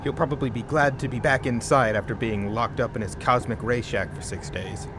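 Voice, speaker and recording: A man's voice: mid-range voice, fairly even intonation, fairly quick, background noise, lightly acted. An actor playing a somber, fatalistic expedition man in an audio drama. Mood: resigned.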